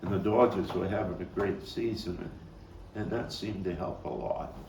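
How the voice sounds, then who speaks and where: A man performing a dramatic monologue as a Brooklyn man recalling a violent boyhood, halting and deliberate, with repeats and weighty pauses. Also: deep voice, creaky. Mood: mournful, wistful. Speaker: actor and playwright reading his own monologue. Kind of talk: dramatic monologue at a table read. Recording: roomy, distant mic with faint background noise.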